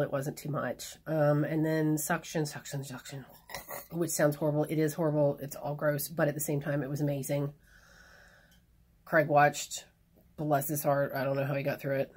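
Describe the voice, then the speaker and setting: A woman chatting in a casual video diary, a little hoarse, frank and rambling with plenty of fillers. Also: deep voice, a little mellow. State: hoarse after surgery. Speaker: vlogger.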